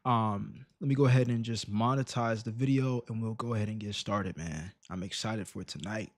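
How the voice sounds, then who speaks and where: A man hosting a casual reaction livestream, slangy and emphatic with rhetorical questions, in a slightly raspy voice. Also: lowish voice, normal speed, no room sound. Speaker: live stream host reacting to videos.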